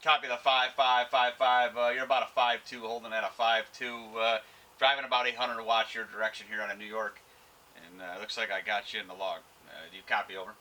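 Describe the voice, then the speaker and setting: A man working as an amateur radio operator, talking casually and haltingly in radio procedure words and callsigns. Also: on the low side, little pitch movement. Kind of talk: casual ham radio contact with on-camera commentary. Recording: loud radio static and noise. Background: radio receiver noise and static.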